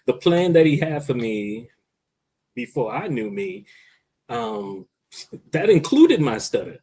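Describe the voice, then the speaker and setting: A man's voice: mid-range voice, big pitch swings, takes it slowly, dark tone, breathy edge. A man who stutters, talking in a casual discussion as a guest, with frequent hesitations and repeated words.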